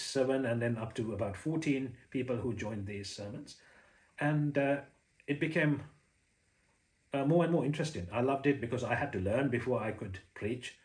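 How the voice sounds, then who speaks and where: A man in his forties with a Sri Lankan accent, a native Sinhala speaker, explaining Buddhist ideas to the camera in a halting, filler-heavy way while gesturing with his hands. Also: medium pitch, a little mellow. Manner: hesitant, many uh fillers and restarts. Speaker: former Buddhist preacher. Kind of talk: casual talk to camera. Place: indoor room with a home shrine.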